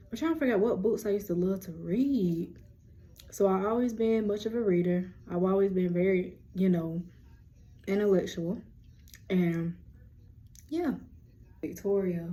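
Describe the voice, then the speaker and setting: A young woman with a deep voice, hosting a rambling, casual lunchtime chat on her vlog. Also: dark tone. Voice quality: low, deep voice. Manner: chatty, rambling, self-described yapper. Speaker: vlog host.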